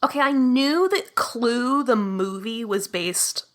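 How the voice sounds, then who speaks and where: A woman's voice: fairly high pitch, slow. A woman chatting casually as a podcast guest, enthusiastic and full of fillers. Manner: enthusiastic, lots of "like" fillers and laughs.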